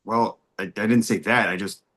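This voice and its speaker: A man's voice: on the low side, fairly even intonation, moderate pace, a bit bright. A man playing a skeptical, grumbling heckler in the audience at a screenplay table read.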